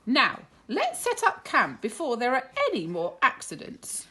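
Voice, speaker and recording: A woman's voice: on the high side, huge pitch swings, unhurried, sharp tone, slight background noise, voice pushed up, exaggerated voice. A woman voicing a bossy, fussy hen leader in a firm, commanding storybook manner. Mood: brisk.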